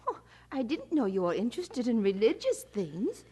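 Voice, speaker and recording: An actress playing a kindly, solicitous married woman in an audio drama, with a husky, breathy voice and gentle, motherly manner. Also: on the high side, wildly swooping pitch, normal speed, full character voice.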